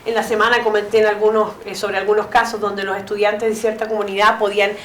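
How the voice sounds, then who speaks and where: A woman interpreting a lecture, speaking quickly with frequent "eh" fillers and "¿cierto?" tags. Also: medium pitch, flat delivery, slight background noise. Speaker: interpreter and moderator. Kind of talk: consecutive interpreting. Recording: echoey room.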